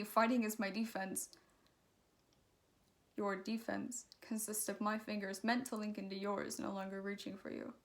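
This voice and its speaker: A woman performing her own slam poem in an earnest, measured, emotive recitation. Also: mid-range voice. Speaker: slam poet.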